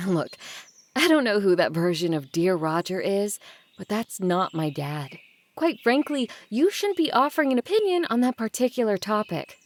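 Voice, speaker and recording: A woman's voice: mid-range voice, sing-song, moderate pace, close to an everyday voice. A woman playing a guarded, blunt adult daughter of a wealthy family in an audio drama, with a dry, curt way of talking. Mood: defensive, annoyed. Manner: dry, curt, bossy with siblings.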